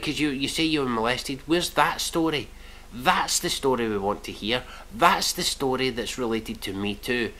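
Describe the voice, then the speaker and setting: A man's voice: medium pitch, sharp tone. A man, commenting on posts he reads out in a scornful, mocking way with plenty of swearing, recorded indoors in a small room on a webcam. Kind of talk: reaction commentary reading posts.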